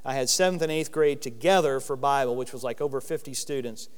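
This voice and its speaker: Loud earnest man teaching scripture. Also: mid-range voice, clean tone, big-room sound. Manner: earnest, emphatic with slow stressed phrases. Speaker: Bible teacher. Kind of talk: sermon-style Bible lesson.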